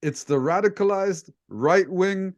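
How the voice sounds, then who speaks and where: Higher-pitched man chatting as interview host. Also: unhurried. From Egypt. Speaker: host, debate coach interviewing a guest. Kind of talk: casual interview chat.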